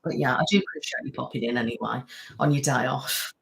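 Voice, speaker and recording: A woman's voice: extremely low pitch, steady tone, quick pace, creaky, a bit bright, low-quality recording. A woman hosting a casual live-stream chat, rambling, laughing often and thanking viewers by name. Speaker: ASMR channel host.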